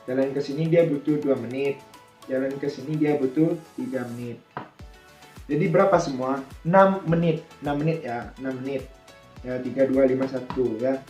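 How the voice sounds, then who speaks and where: A man teaches in a soft-edged, mellow voice at a middling pitch. He explains informally, thinking aloud and asking himself questions along the way. The room is clearly echoey, with some music behind him. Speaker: air traffic controller presenting a tutorial.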